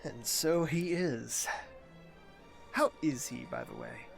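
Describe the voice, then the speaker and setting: A man acting a formal, reserved royal host in an audio drama, speaking in a measured way. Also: fairly high pitch, creaky. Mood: courteous, guarded. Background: music.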